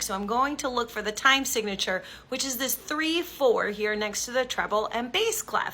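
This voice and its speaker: Bright high-voiced woman teaching music. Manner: encouraging, explains step by step, sings examples. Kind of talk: online music lesson.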